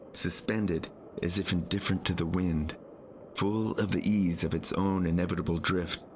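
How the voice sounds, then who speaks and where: Male audiobook narrator, deep and slow, literary scripted prose read by the author. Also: slight background noise. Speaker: author reading own audiobook.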